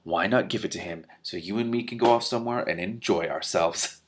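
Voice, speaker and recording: A man's voice: deep voice, a little fast, a little hiss or hum, close to an everyday voice. A talking gold coin from a fantasy storybook, read by a man. It is a sly little tempter, persuasive and coaxing in how it speaks.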